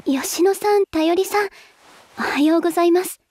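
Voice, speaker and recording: A woman playing a modest, soft-spoken teenage girl idol who speaks very formally in an anime drama. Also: very high-pitched, normal speed. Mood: polite, bashful. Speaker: voice actress in character. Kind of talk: anime audio drama dialogue.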